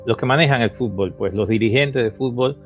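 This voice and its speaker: A man's voice: mid-range voice, fairly quick, soft background music. Lo-fi video-call host, a man. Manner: affirming, stammering restarts. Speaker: host and football coach. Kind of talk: webinar interview host.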